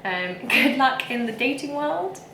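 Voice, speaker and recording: A woman's voice: high voice, very animated, unhurried, sharp tone, echoey room, slight background noise, low-quality recording. Emphatic young woman vlogging. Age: young adult. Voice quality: whiny. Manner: emphatic and frank, with frequent fillers. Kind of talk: casual ranting to camera.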